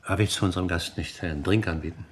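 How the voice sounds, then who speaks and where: An actor playing a courteous, shrewd older father in a radio drama, speaking in a genteel, deliberate way. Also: low-pitched, close to an everyday voice. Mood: shrewd, genial.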